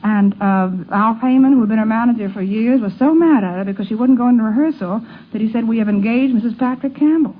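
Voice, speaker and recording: A middle-aged woman telling informal anecdotes as an interviewee, animated but halting. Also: fairly high pitch. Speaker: interviewee recalling her mother. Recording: narrow vintage radio, faint noise.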